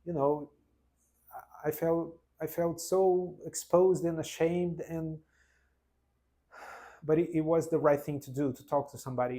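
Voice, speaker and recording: A man in his forties from Brazil with a Brazilian Portuguese accent, whose first language is Portuguese, telling his own story unscripted in an earnest, halting way with many restarts. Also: fairly high pitch, warm tone. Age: 40s. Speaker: vlogger. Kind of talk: spontaneous personal testimony.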